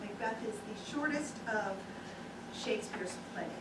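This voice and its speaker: A woman's voice: mid-range voice, expressive, unhurried. A woman speaking as festival director, casually introducing live performances to an audience. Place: small theater stage. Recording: echoey theater from far off, loud noise.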